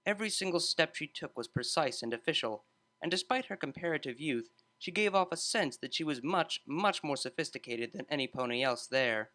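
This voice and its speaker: Lively, bright-voiced male storyteller. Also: fairly high pitch, brisk. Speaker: audiobook narrator. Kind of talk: scripted story narration.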